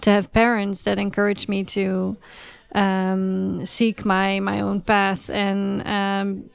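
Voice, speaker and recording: A woman, a podcast guest who speaks hesitantly with many pauses. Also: medium pitch, completely flat delivery, gravelly, smooth. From Netherlands.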